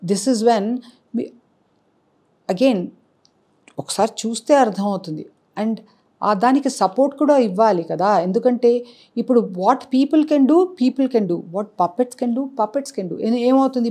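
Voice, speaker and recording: Woman, puppet show producer, earnest explainer, unhurried, code-switching. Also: mid-range voice, a little mellow. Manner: earnest, explanatory, switches between Telugu and English mid-sentence. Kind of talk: interview answers mixing Telugu and English.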